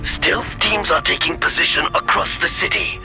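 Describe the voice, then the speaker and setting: A man's voice: sits high, normal speed, sharp tone, full character voice. A man playing a dutiful, serious alien Tau commander in a video game, reporting in a formal military manner. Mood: dutiful, confident. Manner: formal, crisp military briefing. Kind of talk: video game cutscene acting. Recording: music behind the voice.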